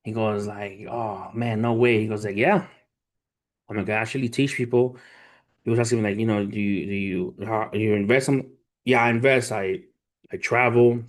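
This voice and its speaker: A man's voice: lowish voice, steady tone, moderate pace. A man in his thirties hosting a livestream, talking informally with slang and swearing as he tells stories and gives motivational talk to his viewers. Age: 30s. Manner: informal, street slang, profane, addresses viewers as family. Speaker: livestream host, trader. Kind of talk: casual livestream chat, storytelling and motivational talk.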